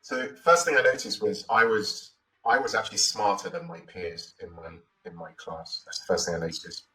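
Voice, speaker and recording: A man's voice: low-pitched, normal speed, pushed and bright, recorded from a distance, rough recording. A Nigerian man in his forties, telling his story as an interview guest in a thoughtful, reflective way with many "you know" fillers. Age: 40s.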